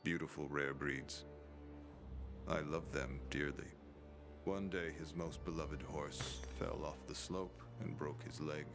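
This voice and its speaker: A cold villain leader, speaking with a very deep, low voice. He talks slowly and deliberately, calm and menacing. Music plays behind him in the game cutscene. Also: full character voice. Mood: calm menace. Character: cold ruthless male villain leader, middle-aged.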